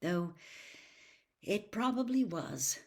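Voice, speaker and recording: A woman's voice: mid-range voice, expressive, takes it slowly, voice pushed up. Woman voicing a grave, weary father. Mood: somber. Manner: grave, explanatory. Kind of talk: acted storybook reading.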